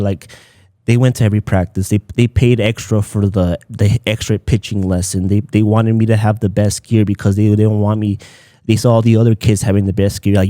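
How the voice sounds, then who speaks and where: Man, Spanish speaker, relaxed dark tone, dry close sound, casual podcast storytelling. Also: on the low side, no echo. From Southern California, United States. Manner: informal, peppered with "like" and Spanish phrases. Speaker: podcast guest, content creator.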